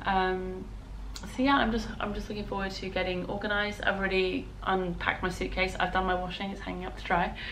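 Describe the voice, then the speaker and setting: A woman with a British accent and a hoarse voice, chatting casually and ramblingly as a knitting vlogger. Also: medium pitch, level tone, brisk, fairly bright tone, slight background noise, poor audio. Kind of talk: casual vlog chat.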